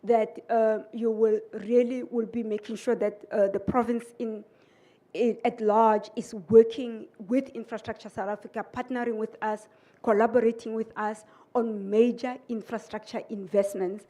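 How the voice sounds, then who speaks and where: Flat-voiced woman giving an official speech. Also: fairly high pitch. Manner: halting, with many "uh" pauses. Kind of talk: formal speech.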